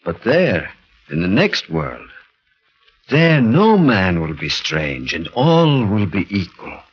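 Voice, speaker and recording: An actor playing a tormented murderer, a former midget grown into a giant, in intense, melodramatic 1940s radio horror style. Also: male voice, on the low side, huge pitch swings, slow pace, husky, exaggerated voice. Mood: resigned, sad. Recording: dull 1940s radio recording, faint music.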